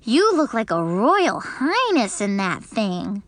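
A woman voicing an excitable, bossy first-grade girl who chatters in an eager, earnest way for an audiobook. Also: mid-range voice, slow pace, no room sound. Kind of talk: audiobook character voice.

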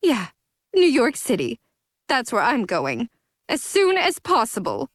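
A woman's voice: high voice, sing-song, normal speed, a bit bright, no echo, clean studio sound, pitched above the performer's own voice. A woman voicing a haughty, drunk teenage vampire girl who sneers and lashes out. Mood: spiteful. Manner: sneering, slurred, explosive.

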